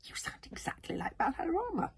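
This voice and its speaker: A woman's voice: very deep voice, big pitch swings, a little fast, vocal fry, noisy background, heavily acted. A woman voicing an anxious, sarcastic young boy in a storybook, with a bleating, exasperated manner. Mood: nervous.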